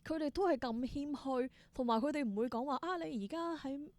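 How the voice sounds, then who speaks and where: A woman with a Hong Kong Cantonese accent, telling stories casually as an interview guest, expressive and mixing in English words. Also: high voice, a little fast, no echo. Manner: expressive, exclaims in wonder, mixes in English words. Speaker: interview guest, theatre performer.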